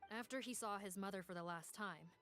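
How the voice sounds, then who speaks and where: A woman playing a composed police officer in a video game, speaking in a formal, measured, matter-of-fact way. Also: medium pitch, fairly bright tone, soft background music, natural voice. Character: composed female police officer reporting on a case. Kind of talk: scripted video game dialogue.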